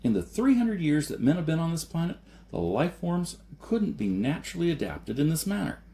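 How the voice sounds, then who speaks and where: Man voicing an insistent adventurer, pitched up, emphatic. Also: big pitch swings, moderate pace, dark tone. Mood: insistent, persuasive. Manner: emphatic, argumentative, lecturing. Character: persuasive, insistent adult male off-world adventurer.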